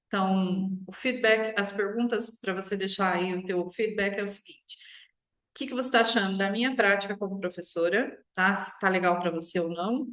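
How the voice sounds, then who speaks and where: Brazilian lady teaching english online, medium pitch, keeps checking you follow, kinda echoey room. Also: fairly quick, fairly bright tone. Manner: instructive, often checks in with short tag questions. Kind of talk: online language lesson to viewers. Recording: roomy, echoing sound.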